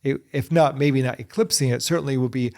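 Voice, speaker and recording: A man's voice: medium pitch, quick pace, warm tone. A middle-aged man answering interview questions earnestly, often restarting and repeating words. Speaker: guest, Bible society secretary.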